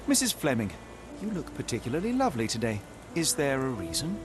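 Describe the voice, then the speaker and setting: A man's voice: mid-range voice, wildly swooping pitch, a little fast, noisy background. A man playing a brilliant, sardonic British detective in a video game, speaking in a precise, pompous manner. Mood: wry. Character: brilliant, haughty young male detective, impatient and sardonic. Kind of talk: video game cutscene acting.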